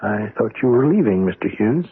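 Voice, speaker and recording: An American actor playing a sober, skeptical doctor in a radio drama, measured and guarded. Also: male voice, fairly low pitch, big pitch swings, slightly rough, full character voice. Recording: muffled, boxy old radio broadcast.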